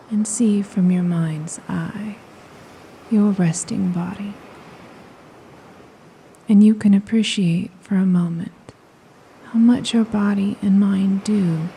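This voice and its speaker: A woman guiding a hypnosis meditation in a soft, breathy, soothing voice, often near a whisper, with unhurried phrases and long pauses. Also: mid-range voice, fairly even intonation, low-quality recording. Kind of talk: slow guided meditation, hypnosis narration. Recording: faint ambient noise of ocean waves. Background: ocean waves.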